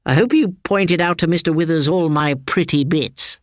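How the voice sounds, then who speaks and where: Man doing an old aunt, imperious n gracious in a creepy way, high voice, story reading. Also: dry recording, studio quality, lightly acted. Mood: arch. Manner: theatrical, drawn-out, over-polite phrasing.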